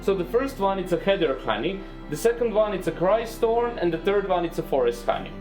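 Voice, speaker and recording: A man's voice: high-pitched, very animated, fairly quick, loud music behind the voice, poor audio. A man giving a beekeeping tour to visitors, explaining honey making with playful jokes. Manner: playful, jokes with visitors, slightly non-native phrasing.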